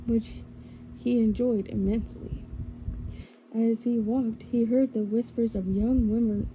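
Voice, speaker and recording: Slow, very soft-toned woman reading ASMR. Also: on the high side, low-quality recording. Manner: gentle, slow, deliberate phrasing. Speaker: ASMR storyteller. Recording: faint background noise.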